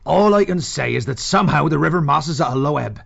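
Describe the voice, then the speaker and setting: A gruff male otter skipper, heavily creaky and raspy, pitched fairly high. He is plain-spoken and pessimistic, a storybook riverman on slightly dull audio. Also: animated, fast talker, fairly bright tone, exaggerated voice. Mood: grave, matter-of-fact. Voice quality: gravelly, raspy. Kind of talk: full-cast audiobook acting.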